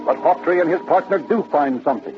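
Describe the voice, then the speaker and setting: An actor delivering solemn, authoritative scripted narration for a 1930s-style radio crime drama. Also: male voice, fairly high pitch, lively intonation, fast, natural voice. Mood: solemn, dramatic. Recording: dull old radio recording. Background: music.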